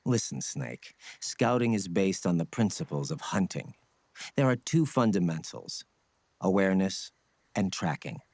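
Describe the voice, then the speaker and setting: An actor playing a stoic ninja scout mentor in a video game, speaking in a grave, measured, husky voice. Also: male voice, sing-song, a little mellow, no room sound, full character voice. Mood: solemn, instructive. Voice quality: low, husky. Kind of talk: dramatic video game acting.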